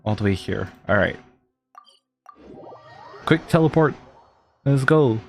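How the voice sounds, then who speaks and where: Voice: mid-range voice, animated, slow. A streamer giving casual gameplay commentary in a chatty, informal way. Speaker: streamer playing a game. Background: game music.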